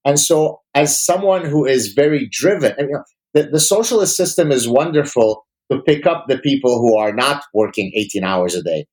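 A man's voice: medium pitch, expressive, moderate pace, a little mellow, low-quality recording. Emphatic, articulate man from Lebanon, native Arabic speaker. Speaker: interview guest, academic. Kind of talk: opinionated conversational interview.

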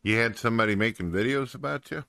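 A man's voice: mid-range voice, fast, creaky, sharp tone, no room sound, clean studio sound. A man hosting a casual chat, blunt and teasing.

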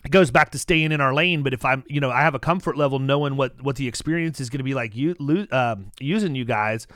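A man hosting a casual podcast, talking animatedly with many restarts and making pitches to his listeners. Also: quick pace, fairly bright tone, no room sound. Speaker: podcast host.

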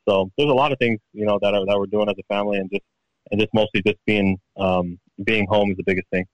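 A man with Tongan as his first language, a pro golfer guest in a relaxed interview chat, often repeating and restarting his words. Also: on the low side, quick pace, poor audio.

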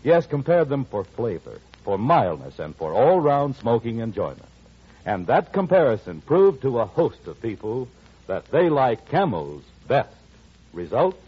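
A man's voice: mid-range voice, sing-song, unhurried, slightly rough. A man announcing in 1940s radio style with polished, emphatic salesmanship. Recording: dull old radio recording.

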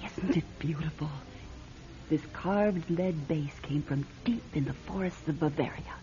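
An actress playing a proud, shifty middle-aged fortune-teller in a classic radio drama, with a theatrical, grand manner. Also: female voice, low-pitched, unhurried, warm tone. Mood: hushed, proud. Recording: dull lo-fi radio drama recording.

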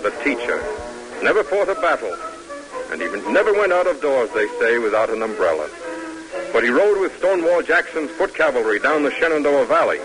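A man's voice: medium pitch, rough recording. Solemn male radio narrator over music. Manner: solemn, declamatory, measured. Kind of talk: dramatic 1940s-50s radio documentary narration. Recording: vintage radio broadcast.

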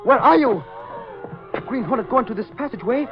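A high pitched earnest sidekick, broken english, old radio serial with music behind. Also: male voice, expressive, a little fast, dull audio. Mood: anxious, urgent. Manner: clipped broken English, dropping small words. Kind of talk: 1940s radio acting.

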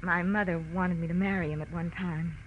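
A woman's voice: fairly low pitch, fairly even intonation, fairly quick, lightly acted. An actress playing an anxious young woman in a 1940s radio detective drama, breathless and hesitant. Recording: muffled, noisy old radio recording.

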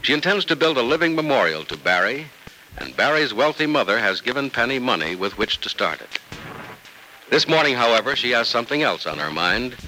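A male announcer reads in polished 1940s radio style. His mid-pitched voice is very sharp and swings widely in dramatic delivery. The recording carries faint old noise. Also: very animated, fairly quick, rough recording. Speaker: announcer and narrator. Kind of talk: 1940s radio scripted narration and ad reading.

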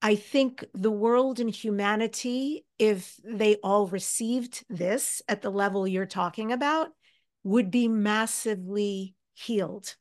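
A woman hosting a spiritual interview podcast, speaking earnestly and affirmingly in short, measured phrases. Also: medium pitch. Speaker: podcast host.

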